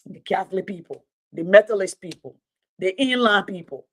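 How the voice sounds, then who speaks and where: A woman's voice: mid-range voice, expressive, moderate pace. A Liberian woman, a native Mano and Gio speaker with a Liberian accent, giving blunt, emphatic unscripted political commentary as an online host and storyteller. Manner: blunt, emphatic, repeating phrases for effect.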